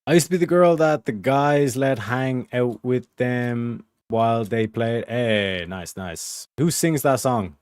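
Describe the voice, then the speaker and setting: A man chatting to his viewers as he plays an online game, crude and jokey, often breaking into bits of song. He talks slowly and evenly. The sound is dry and close, with no room in it. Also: medium pitch, clear, resonant tone, no echo. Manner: profane, joking, talks to chat while playing. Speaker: streamer. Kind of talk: casual gaming livestream chat.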